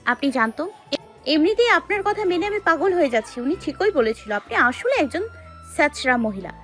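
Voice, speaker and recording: A woman's voice: high-pitched, sing-song, a little fast, a bit bright, faint music behind. A woman playing a hurt, stubborn, very young wife who answers curtly and indignantly in an audio story drama. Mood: defiant. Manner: curt, indignant, defensive.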